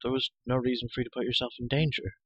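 Storybook young pig keeper, male, voiced by a man: hot-headed, exclamatory, wide pitch swings, dry close sound. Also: animated, fast, fairly bright tone, slightly rough, no echo, highs cut off. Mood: sorrowful. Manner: exclamatory, indignant.

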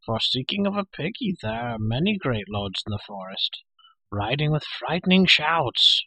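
A man's voice: medium pitch, big pitch swings, moderate pace, pushed and bright, husky, no room sound, exaggerated voice, poor audio. A man voicing a hairy, half-animal storybook creature who wails, pleads and fawns hungrily. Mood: sly, pleading. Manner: wailing, pleading, fawning, speaks of himself in third person. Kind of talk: storybook character voice.

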